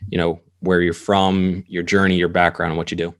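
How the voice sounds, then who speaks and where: Male podcast host with a very low voice who talks fast, casual and upbeat. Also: level tone. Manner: upbeat, quick, conversational.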